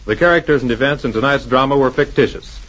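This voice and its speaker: A man's voice: medium pitch, quick pace, a bit bright. A man announcing and narrating a scripted 1940s radio program in a formal style. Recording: muffled old radio recording. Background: music.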